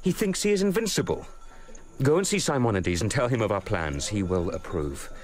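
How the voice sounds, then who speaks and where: An actor playing a determined, vengeful young nobleman in a radio drama, brooding and deliberate in manner. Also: fairly low pitch, sing-song, darkish tone. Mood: resolute. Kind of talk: radio drama acting with first-person narration.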